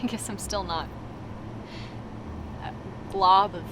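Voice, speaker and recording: A woman's voice: medium pitch, takes it slowly, lightly acted. An actress playing a thoughtful, wistful young female ghost in a web series drama. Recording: outdoors with clear traffic noise.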